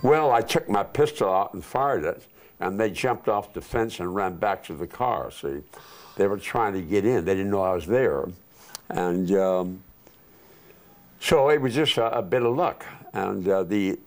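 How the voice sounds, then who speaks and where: An older man telling war memories in a casual interview, unhurried and rambling with many fillers, recorded on a lapel mic in a living room. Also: low voice, a little mellow. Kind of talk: casual oral history interview. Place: living room, seated on couch.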